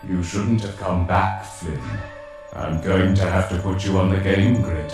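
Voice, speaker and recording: A man playing a menacing master computer on a read-along record, with a metallic, booming, commanding voice. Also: fairly even intonation. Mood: threatening. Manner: slow, imperious. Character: menacing male master-control computer, cold, commanding, domineering. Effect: metallic echoing computer voice treatment. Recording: echo-drenched, distant, music underneath.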